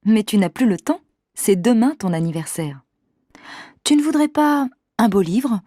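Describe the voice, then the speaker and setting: A woman's voice: medium pitch, expressive, a little slow, warm tone, dry recording, clean studio sound. A woman acting as an affectionate, insistent wife in a scripted language-lesson dialogue. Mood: affectionate, coaxing. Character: affectionate, insistent wife planning a birthday gift.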